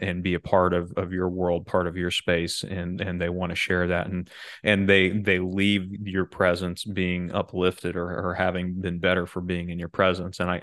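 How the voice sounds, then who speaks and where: A man speaking casually on a podcast, reflective and halting, often repeating words as he works through a thought. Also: sits low, fairly bright tone, no room sound. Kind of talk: casual discussion.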